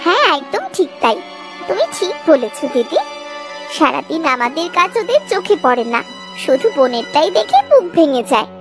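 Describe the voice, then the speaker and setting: A woman's voice: sits high, very animated, moderate pace, soft background music. A woman voicing a resentful, gossipy village sister-in-law in a cartoon, with grumbling, scornful melodrama. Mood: mocking.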